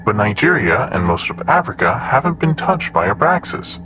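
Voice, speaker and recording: A man playing a composed android assistant in an audio drama, speaking in a clinical, measured, matter-of-fact manner. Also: medium pitch, big pitch swings, background noise, lightly acted. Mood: clinical, informative. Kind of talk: scripted audio drama dialogue.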